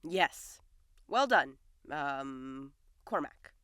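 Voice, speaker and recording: Woman playing a confused hunter faking being king, slow and unsure, very lively pitch, audio drama. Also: smooth, no echo, studio quality, full character voice. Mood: awkward bluffing. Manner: hesitant, bluffing. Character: bewildered hunter posing as a fairy king.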